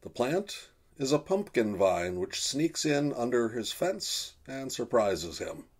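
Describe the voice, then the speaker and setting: A man's voice: medium pitch, sing-song, takes it slowly, breathy edge. A man in his fifties, an author and illustrator, presenting trivia from a home office.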